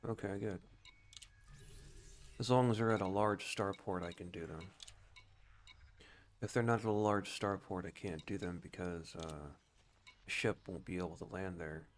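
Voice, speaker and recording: A man's voice: low-pitched, fairly even intonation, moderate pace, pauses often. A man giving casual gameplay commentary as he streams a game, at times calling out short voice commands. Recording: clear background noise.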